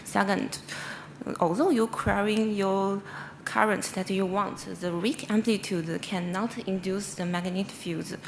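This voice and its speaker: A woman researcher with a Chinese accent gives an academic conference presentation in halting English, often stumbling and correcting herself. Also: mid-range voice. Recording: clearly noisy presentation recording.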